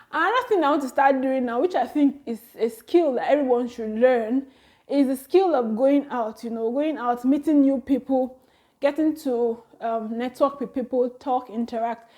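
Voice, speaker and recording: Nigerian woman, 30s, high voice, chatty vlogger who laughs a lot and exclaims. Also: normal speed, a little mellow. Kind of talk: casual talk to camera.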